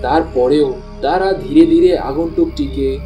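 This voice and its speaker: A man's voice: fairly high pitch, a little slow, over music, rough recording. A man reading scripted storytelling narration as the narrator of a tale.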